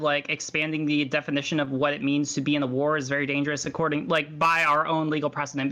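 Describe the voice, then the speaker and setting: A man hedging his way through a political argument in an online debate. He talks fast, with a bright, forceful voice. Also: a little high. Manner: cautious, hedging, many likes. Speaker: debate guest.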